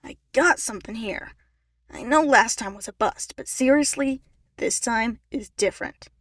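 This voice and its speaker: A woman voicing a smug, careless, calculating male talent manager with a showy, flattering way of talking. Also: a little high, moderate pace, no room sound, studio quality. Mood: pressing, insistent.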